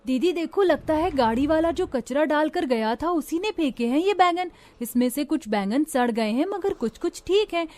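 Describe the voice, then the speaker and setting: A woman's voice: high-pitched, animated, moderate pace, hardly any pauses, a little mellow, voice pushed up. A woman voicing a poor, caring eldest orphan sister in a story, soothing and pleading. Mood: resigned.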